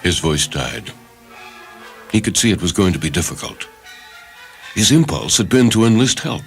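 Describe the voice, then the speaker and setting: A man's voice: sits low, sing-song, normal speed. A man reading a short story as dramatic scripted narration. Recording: music under the voice. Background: background music.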